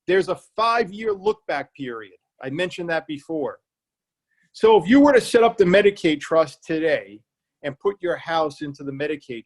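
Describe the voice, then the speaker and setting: A man with an Irish accent, an elder law attorney giving a seminar talk, plain-spoken and repeating key points so listeners remember them. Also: fairly high pitch. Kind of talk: public library seminar talk. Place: remote online presentation.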